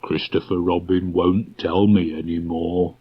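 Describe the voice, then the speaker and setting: A man voicing a gentle, slow-witted toy bear from a storybook, earnest and puzzled, speaking slowly and hesitantly. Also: low voice, level tone, husky. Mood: wistful. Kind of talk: storybook character voice. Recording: dull restored cassette tape.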